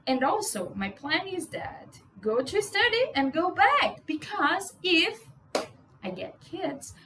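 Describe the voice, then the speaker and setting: A young Brazilian woman speaks English with a Portuguese accent in a high, bright register. She talks straight to camera in a loose, outspoken way, swearing for emphasis and tagging her sentences with "you know". A faint hum runs under her voice. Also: sing-song, slow. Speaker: vlogger. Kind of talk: casual monologue to camera.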